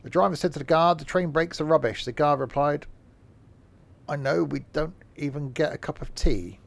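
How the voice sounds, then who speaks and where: A man's voice: fairly high pitch, moderate pace, vocal fry. A man, chatting casually and rambling with fillers while live-streaming a game.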